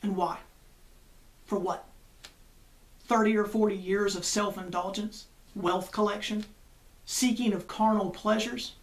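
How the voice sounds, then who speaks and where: A woman's voice: medium pitch, fairly even intonation, unhurried, long pauses, low-quality recording. A woman hosting a religious show, delivering a conversational monologue that leans on rhetorical questions and emphatic repetition.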